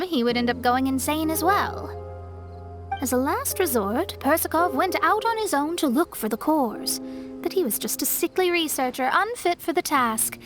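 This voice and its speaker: An earnest young female investigator from a video game. The voice is high and articulate, laying things out step by step, with soft music underneath. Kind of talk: video game English dub acting.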